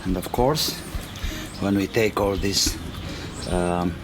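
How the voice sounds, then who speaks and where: A man who speaks English as a second language, hosting a casual hands-on tutorial in simple, halting step-by-step phrases. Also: deep voice. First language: not English. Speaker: DIY tutorial host. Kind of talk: casual hands-on how-to narration. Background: music.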